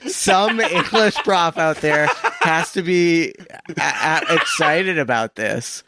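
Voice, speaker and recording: A man's voice: fairly high pitch, lively intonation, slow, a loud crowd. A man co-hosting a radio show in casual, humorous, wry and rambling banter.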